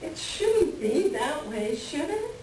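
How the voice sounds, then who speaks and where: A woman's voice: high-pitched, big pitch swings, slow, dark tone, heavily acted. A woman playing a wistful, melancholy married woman in a staged play reading, with a reflective storytelling manner. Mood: subdued, pensive. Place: library reading before a microphone at some distance. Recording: very echoey room, distant mic, faint noise.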